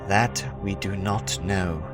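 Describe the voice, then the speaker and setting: Man playing a slow, deep-voiced solemn old elder. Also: steady tone. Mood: solemn, explaining. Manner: solemn, measured, portentous. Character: grave, wise old village elder of a fantasy island. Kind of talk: fan audio drama acting. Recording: loud music under the voice.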